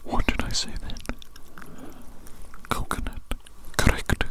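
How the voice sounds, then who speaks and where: An evil doctor played by an ASMR performer speaks entirely in a breathy whisper. The delivery is formally polite and patronising, with deliberate repetition, as in a medical roleplay meant for sleep. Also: lightly acted. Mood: quizzing, patronising. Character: evil doctor giving a hearing test, politely menacing, condescending.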